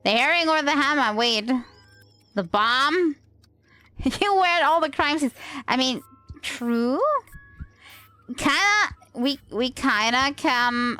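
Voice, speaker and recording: A woman's voice: a little high, slow, pauses often, pushed and bright, clean tone, faint music behind. A woman livestreaming a game with casual, rambling commentary, playful and self-deprecating.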